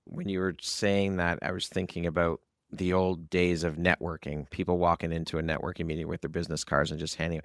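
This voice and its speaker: A man's voice: low voice, level tone, brisk, few pauses, fairly bright tone, no echo, studio quality. A man hosting a conversational interview podcast, asking questions and sharing his own views. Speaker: podcast host.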